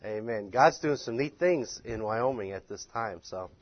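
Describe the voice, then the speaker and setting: A man's voice: fairly low pitch, animated, a little slow, dry recording, dull audio. A man teaching a class informally, addressing the group in a folksy, teasing, encouraging way. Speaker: instructor leading a church-planting class.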